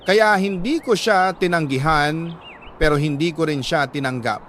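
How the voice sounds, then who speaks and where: A Filipino man with a slightly high voice tells a dramatic first-person story in the manner of a radio letter reader. His delivery is measured and emotive, with deliberate pauses between short phrases. Some background noise sits behind the voice. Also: fairly bright tone.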